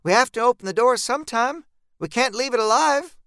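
A blunt, resolute rural woman in a horror tale, acted out by a man. The voice is pitched well up and forceful, with an urgent, matter-of-fact delivery and clean, crisp tone. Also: lively intonation, a little fast, no echo, clean studio sound, exaggerated voice. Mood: firm, coaxing. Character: gritty rural woman, blunt, resolute monster-fighting neighbor. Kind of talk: dark horror audiobook character voice.

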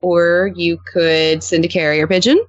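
A woman's voice: lowish voice, flat delivery, a little slow, dry recording, lo-fi sound. A woman speaking casually as a podcast guest in a conversational interview.

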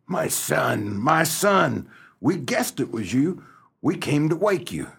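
An actor playing an old, folksy, pious farmer father in a comic radio melodrama, with a gravelly, husky voice. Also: on the high side, big pitch swings, slow pace, fairly bright tone, heavily acted. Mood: moved.

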